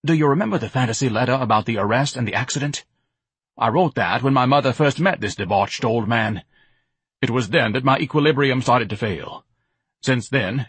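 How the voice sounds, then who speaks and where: A man's voice: medium pitch, a bit bright, breathy edge, no echo, pitched above the performer's own voice. A man voicing a pompous, self-pitying, overweight grown man who talks in grand, melodramatic speeches.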